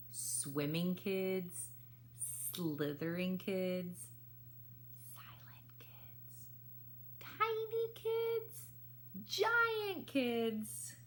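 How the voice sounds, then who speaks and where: A woman's voice: fairly low pitch, a little hiss or hum, exaggerated voice. A woman voicing a welcoming male colonel in a storybook, a flying instructor who speaks in encouraging, instructive statements.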